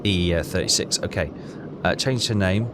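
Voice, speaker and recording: A man thinking aloud as he plays a puzzle game, talking in a halting, self-questioning way. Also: low voice, noisy background. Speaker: let's player. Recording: loud game music behind voice. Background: game music.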